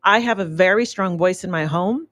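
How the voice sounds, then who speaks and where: A woman's voice: fairly low pitch, normal speed, a bit bright. Outspoken woman guest on talk radio. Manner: earnest, outspoken, preachy. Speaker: radio show guest, author and business owner. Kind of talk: casual radio interview. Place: radio studio.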